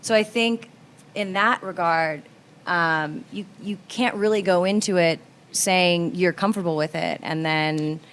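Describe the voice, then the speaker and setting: A woman with a slightly low voice speaks as a panelist in a casual discussion. Her delivery is informal and emphatic, with ambient noise in the room. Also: unhurried, fairly bright tone. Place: festival roundtable, live event.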